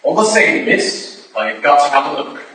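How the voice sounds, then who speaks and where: Far from the microphone in a very echoey hall, an actor plays a Victorian police officer. His voice is slightly high, with a measured, insistent courtesy suited to stage drama. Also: very animated, pushed and bright, a little hiss or hum. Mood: officious.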